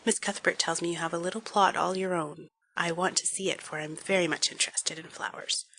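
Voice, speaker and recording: A woman voicing a kind, gentle minister's wife who speaks softly and reassuringly. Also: medium pitch, sing-song, fairly quick, close to an everyday voice, low-quality recording.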